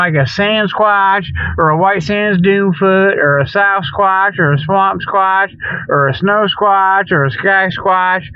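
High-voiced folksy man rambling on comedy radio. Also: no echo. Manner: folksy, colloquial, laughs at own jokes. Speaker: comedy radio show host. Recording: dull lo-fi radio audio.

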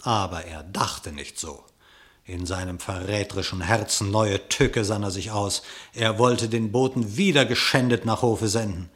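A man narrating a classic radio play in verse, with theatrical, measured declamation. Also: fairly low pitch, a bit bright.

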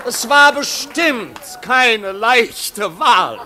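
An actor playing a patronising, theatrical master of ceremonies at a costume party in a 1950s radio play. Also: male voice, extremely high pitch, sing-song, extremely slow, heavily acted. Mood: smug, amused. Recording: noisy 1950s radio recording, party crowd. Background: crowd of party guests.

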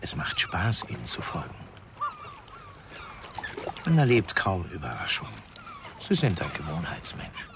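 A man's voice: sits low, huge pitch swings, a little slow, vocal fry, pauses often, heavily acted. A man playing a seedy, insinuating hanger-on in a crime radio play, needling and slyly familiar. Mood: sly, gloating. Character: seedy, insinuating male hanger-on shadowing a drinker. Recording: crowd noise behind the voice.

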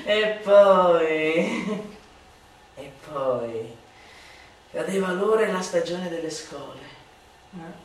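A woman delivering a satirical radio monologue as a stage actress, declamatory and mocking, with drawn-out emphatic words and exaggerated swoops. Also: lowish voice, animated. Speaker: stage actress reciting a literary text. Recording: very echoey, distant from the mic.

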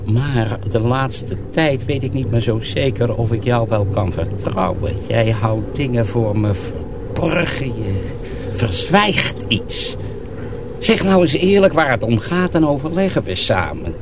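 An actor playing a stern, suspicious master of sorcery, measured and probing, in a radio drama. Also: male voice, medium pitch, animated. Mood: grave, probing. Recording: noisy radio play recording.

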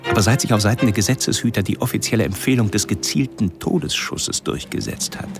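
Radio crime drama: male actor as calmly deranged killer, low gravelly voice, pedantic and taunting, music underneath. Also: lightly acted. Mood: menacing, mocking. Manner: formal, pedantic, halting, with whispered passages. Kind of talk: recorded taunting monologue in a radio play.

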